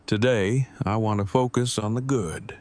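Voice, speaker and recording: Guy doing bookish awkward groom for an audio drama, lowish voice, stiff wordy careful delivery but sincere. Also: a little mellow, close to an everyday voice. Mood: reflective. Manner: stiff, measured, verbose, deliberate phrasing. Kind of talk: fan audio drama acting.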